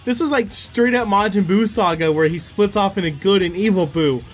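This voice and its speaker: A man's voice: high-pitched, moderate pace, faint music behind. A man giving casual, exclamatory game playthrough commentary as a video host, informal and sweary.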